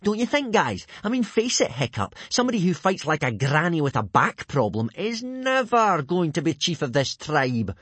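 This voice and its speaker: A man's voice: a little high, big pitch swings, normal speed, hardly any pauses, a bit bright, breathy edge, no echo, voice pushed up, heavily acted. Man acting a sneering, drawling teenage Viking bully. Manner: drawling, mocking, self-satisfied. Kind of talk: children's audiobook character acting.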